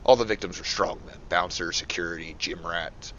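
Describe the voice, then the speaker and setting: An actor playing a gruff, sardonic veteran police detective. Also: male voice, deep voice, normal speed, a bit bright, highs cut off, a little hiss or hum. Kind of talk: amateur motion comic voice acting.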